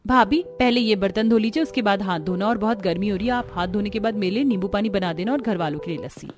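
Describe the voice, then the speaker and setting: A woman's voice: mid-range voice, fast, close to an everyday voice. A woman voicing a bossy, nagging young sister-in-law in a cartoon story.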